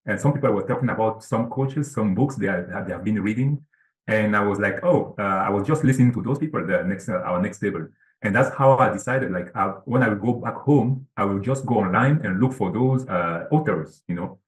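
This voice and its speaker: A man's voice: on the low side, a little fast, roomy sound, low-quality recording. A man from Cameroon, a guest author, talking earnestly over a video call with lots of fillers and repeats. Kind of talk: casual interview talk.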